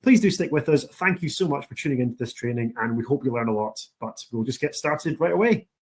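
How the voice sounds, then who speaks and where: A man's voice: medium pitch, expressive, a little fast, a little hiss or hum. Scottish man presenting a training video. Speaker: university international officer.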